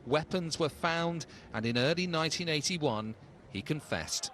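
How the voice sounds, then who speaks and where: A man's voice: a little high, lively intonation, measured pace. Male news reporter, faint background noise. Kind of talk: scripted news report narration.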